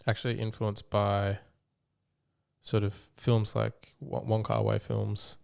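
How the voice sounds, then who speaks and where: Flat, gravelly man. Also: fairly low pitch. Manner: reflective, halting, with restarts and "um". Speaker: interviewer. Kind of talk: casual interview chat.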